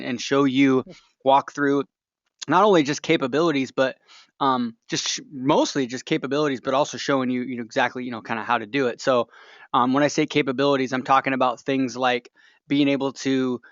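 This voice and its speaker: A man hosting a webinar in a casual, unscripted way, speaking haltingly and often breaking off his sentences. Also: mid-range voice, a bit bright, no echo. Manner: hesitant, halting delivery with broken-off sentences. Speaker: webinar host. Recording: dull, lo-fi webinar audio.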